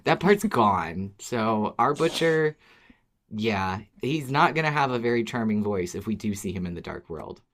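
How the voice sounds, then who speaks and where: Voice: medium pitch, expressive, a little slow. A podcast co-host in casual fan discussion, talking in a wry, informal way.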